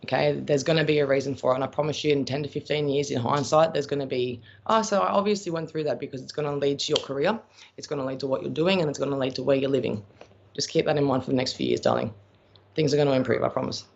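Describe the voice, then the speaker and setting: A man's voice: medium pitch, quick pace, creaky. An Australian man giving psychic readings on a live stream, answering viewer questions in a blunt, chatty way and calling viewers pet names. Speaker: psychic medium. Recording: dull lo-fi stream audio.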